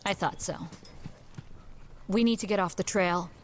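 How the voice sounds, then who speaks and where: A woman playing a weary, determined, haunted heroine in a supernatural Old West audio drama, speaking in a measured, emotive way. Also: mid-range voice, steady tone, very noisy, natural voice. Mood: resolute. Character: weary, determined woman sharing her body with a murderer.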